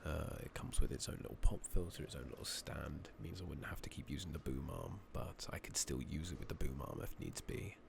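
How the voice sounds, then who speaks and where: A man giving hushed, casual, rambling ASMR commentary while playing a video game, speaking softly into a close microphone. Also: a bass voice, fairly quick, gravelly, hardly any pauses. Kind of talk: soft-spoken ASMR gameplay commentary. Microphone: close USB condenser microphone. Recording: noticeable background noise, clicking.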